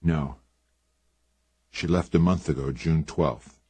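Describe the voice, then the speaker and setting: A man voicing a distraught, rough-spoken male caretaker with blunt country speech. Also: very deep voice, takes it slowly, darkish tone, dry recording, heavily acted. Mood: grim, quiet.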